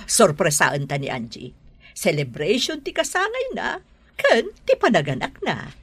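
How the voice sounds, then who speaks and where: A woman's voice: lowish voice, wildly swooping pitch, unhurried, a bit bright, slightly rough, no room sound, exaggerated voice. An actress playing a cheerful mother looking forward to her first grandchild, who laughs often, in a radio drama. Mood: joyful.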